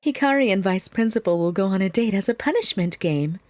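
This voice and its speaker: A woman's voice: mid-range voice, animated, a little fast, darkish tone, dry recording, natural voice. A woman voicing a playful, teasing young female teacher in a dubbed manga story, over background music. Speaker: voice actor in a manga dub.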